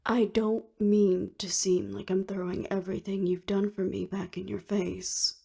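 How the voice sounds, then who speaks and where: A woman's voice: mid-range voice, level tone, unhurried, gravelly, dark tone, breathy edge. A woman acting in an audio roleplay as an earnest, weary official who speaks formally and seriously. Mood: weary, resolute.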